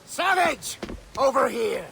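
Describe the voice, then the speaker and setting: An actor playing a menacing, harsh and intense soldier serving a tyrant in a video game. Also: male voice, very high-pitched, very animated, takes it slowly, creaky, background noise, full character voice. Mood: threatening. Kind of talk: video game character acting.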